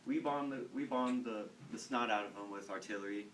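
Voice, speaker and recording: Low-voiced teenage boy, rambling. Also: a bit bright. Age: teens. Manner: rambling, halting, with fillers and repeated words. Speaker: student storyteller. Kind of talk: casual informal talk to classmates. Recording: distant mic, faint background noise.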